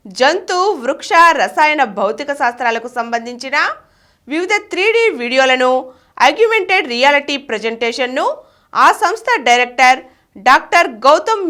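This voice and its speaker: High-pitched, lively woman news reader. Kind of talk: scripted news reading.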